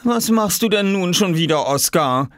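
Storybook mum, played by a man: tired, poorly, pleading for peace, voice pitched up. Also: lively intonation, unhurried, no room sound, studio quality. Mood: exasperated. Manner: weary, pleading. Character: exhausted, unwell young mother pleading for quiet. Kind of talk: children's storybook character voice.

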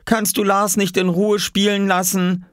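Storybook mum, played by a man: tired, poorly, pleading for peace, voice pitched up. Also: expressive, unhurried, dry recording, studio quality. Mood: exasperated. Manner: weary, pleading. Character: exhausted, unwell young mother pleading for quiet. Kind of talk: children's storybook character voice.

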